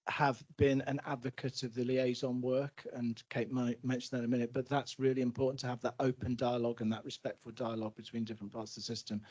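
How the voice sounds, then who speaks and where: A man's voice: mid-range voice, darkish tone, muffled recording. Earnest man. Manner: earnest, emphatic, frequent restarts. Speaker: NHS executive answering questions. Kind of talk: public meeting Q&A answer.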